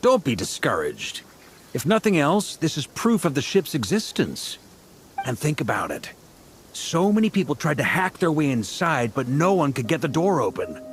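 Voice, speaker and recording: A man's voice: fairly high pitch, wildly swooping pitch, normal speed, a little hiss or hum. A man playing an affable, jokey yet shrewd knight commander in a video game. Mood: thoughtful, reassuring.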